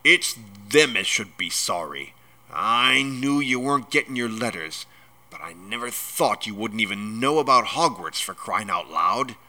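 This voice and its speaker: Blustering giant gamekeeper, growling and booming, bright forceful tone, big pitch swings, storybook reading. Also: male voice, expressive, a little slow, heavily acted, rough recording. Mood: thundering outrage. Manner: booming, growling, rustic speech. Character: huge gruff giant gamekeeper, blustering and indignant.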